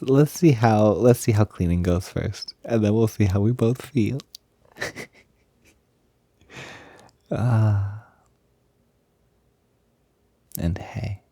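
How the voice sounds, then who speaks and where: A man playing an affectionate, smitten young roommate in an intimate roleplay audio, talking in a soft, breathy, gently hesitant and playful way. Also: on the low side, no echo. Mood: smitten, delighted. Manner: gentle, hesitant, giggly, softly doting. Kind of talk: intimate roleplay audio, casual improvised-sounding chat.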